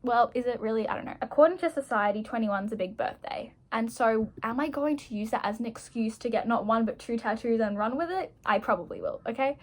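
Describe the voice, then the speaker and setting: A woman's voice: fairly high pitch, expressive, fairly quick, few pauses. Chatty young woman, rambling and self-mocking. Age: young adult. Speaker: vlogger. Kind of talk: casual chat while doing makeup.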